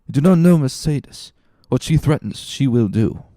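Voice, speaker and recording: A man's voice: mid-range voice, lively intonation, moderate pace, dark tone, no echo, clean studio sound, voice pushed up, close to an everyday voice. A man voicing a lovesick, despairing but resolute young man, earnest and intense, in a dramatic reading. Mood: anguished.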